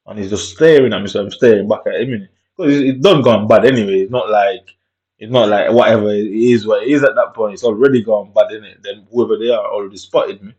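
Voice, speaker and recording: A man's voice: on the low side, fast, a little mellow. A man telling a story in a casual monologue, in streetwise slang, swearing and tagging lines with "innit". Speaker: vlogger. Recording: dull lo-fi recording.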